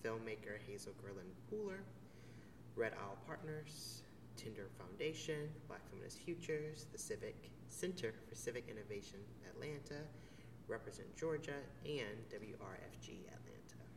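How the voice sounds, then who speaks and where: A man conducting an oral history interview: he reads a formal introduction, then asks gentle, measured questions. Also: fairly low pitch, lively intonation. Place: theater venue. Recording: distant mic in a roomy, noisy hall.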